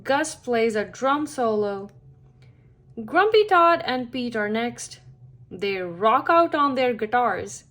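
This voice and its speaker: A woman reads a children's story aloud at an unhurried pace. Her voice sits fairly high, and her phrasing is simple, deliberate and expressive. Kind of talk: picture-book read-aloud for young children.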